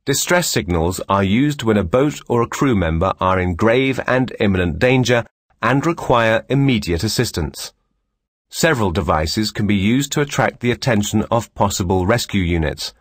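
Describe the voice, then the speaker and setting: Male instructional narrator, lower register, measured formal delivery, very dry close studio sound. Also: lively intonation, no room sound.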